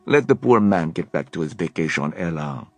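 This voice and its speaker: A man's voice: low-pitched, animated, normal speed, a little mellow, no echo, soft background music. A man voicing a flamboyant young French man with a French accent, gushing and theatrical. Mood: effusive. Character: flamboyant effusive young French male Shadowhunter.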